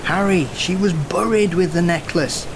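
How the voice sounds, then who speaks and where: Male actor as anxious, conciliatory grieving brother, higher voice, rain noise behind. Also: moderate pace, natural voice. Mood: pleading. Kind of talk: audio drama dialogue.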